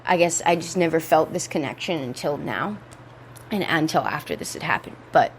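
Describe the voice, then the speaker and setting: A young woman hosting a casual podcast, rambling and laid-back, with a voice hoarse from a cold, talking at home. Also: deep voice, expressive, moderate pace, a little hiss or hum. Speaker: podcast host. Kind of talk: casual chat. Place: indoors at home.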